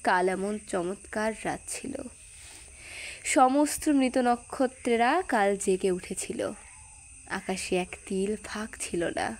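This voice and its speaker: A woman performing a dramatic poetry recitation, delivering it phrase by phrase in a measured, expressive manner. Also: medium pitch.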